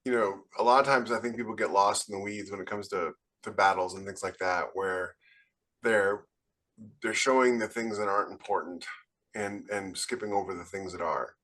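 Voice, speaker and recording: A man's voice: fairly low pitch, steady tone, normal speed, a bit bright, poor audio. Man casually explaining on a podcast. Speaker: podcast co-host and author. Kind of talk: casual panel discussion.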